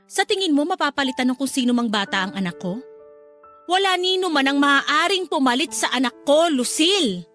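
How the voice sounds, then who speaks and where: Bitter Filipino grieving mother, high voice, cold, firm, reproachful radio drama delivery, music underneath. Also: animated, fairly quick, a bit bright. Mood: angry grief. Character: bitter, unforgiving grieving mother, older sister.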